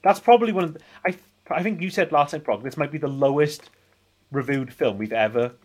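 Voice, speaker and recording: A man's voice: fairly high pitch, fairly quick, husky. A man in his forties rambling through casual film banter as a podcast co-host. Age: 40s.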